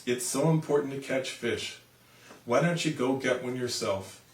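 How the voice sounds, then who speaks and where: A man's voice: mid-range voice, normal speed, natural voice. Man doing an indignant storybook mother, echoey room. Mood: sarcastic. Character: indignant, scolding, sarcastic mother. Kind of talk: animated children's storybook reading. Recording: roomy, distant microphone.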